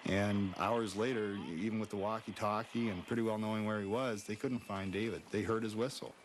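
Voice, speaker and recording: A man recalling events in an interview, halting and repeating words as he goes. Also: fairly low pitch, steady tone. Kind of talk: interview recollection. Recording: faint background noise.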